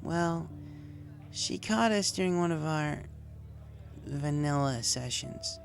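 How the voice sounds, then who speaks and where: A young female cartoon-style pony with heavy creak in a raised voice, performed by a man. She is brash and casual, grumbling her way through a pony tale with a defensive edge. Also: a little high, expressive, takes it slowly, soft background music, voice pushed up, heavily acted. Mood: sheepish.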